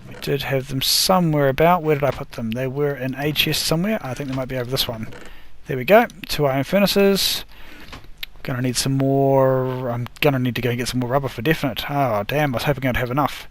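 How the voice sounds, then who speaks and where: A man's voice: mid-range voice, brisk, slight background noise. Guy rambling thru his game build, keeps fixing his words, voice bit worn out. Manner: rambling, self-correcting, talks through each step. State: losing his voice. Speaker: gaming video host. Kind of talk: casual gameplay commentary.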